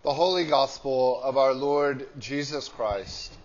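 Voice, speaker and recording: Slow, solemn male priest. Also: medium pitch, dull audio. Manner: solemn, measured, deliberate phrasing. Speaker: priest leading a church service. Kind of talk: liturgical prayer and scripture reading. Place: small church with hard walls.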